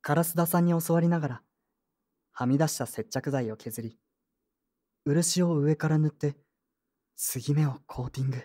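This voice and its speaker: A polite, earnest young man in a radio drama. The voice is soft-spoken and somewhat low, with a dark, relaxed tone and sincere delivery. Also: normal speed, long pauses. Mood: reflective narrating. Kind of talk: Japanese audio drama acting and first-person narration.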